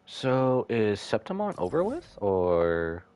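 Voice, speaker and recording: A man's voice: lowish voice, huge pitch swings, slow, a little mellow, clear, resonant tone. A man doing casual live commentary on a game, sentimental and musing aloud to himself as he reacts. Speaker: game streamer.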